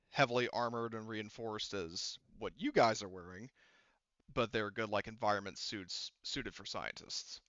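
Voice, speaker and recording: A man running a tabletop roleplaying game as game master, narrating off the cuff in a casual, halting, rambling way. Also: medium pitch, sharp tone, smooth, no room sound, dull audio.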